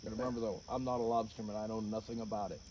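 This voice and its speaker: A man's voice: on the low side, little pitch movement, very fast, a little mellow, rough recording. A man chatting casually in a joking, wisecracking way while helping with a job. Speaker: helper on the project. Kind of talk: casual chat. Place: outdoors in a rural spot. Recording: clear outdoor noise with insects.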